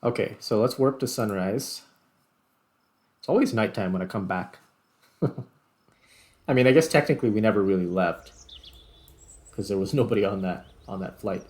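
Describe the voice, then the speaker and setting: A man's voice: on the low side, normal speed, pauses often, darkish tone. A man giving casual gameplay commentary, thinking aloud as he goes. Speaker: gaming video host. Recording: music playing behind the voice. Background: background music.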